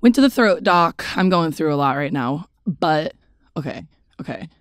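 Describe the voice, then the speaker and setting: Low raspy-voiced woman, jokey chat. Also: expressive, moderate pace, no echo, clean studio sound. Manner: bubbly, jokey, laughs often. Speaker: podcast co-host. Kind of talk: casual chat.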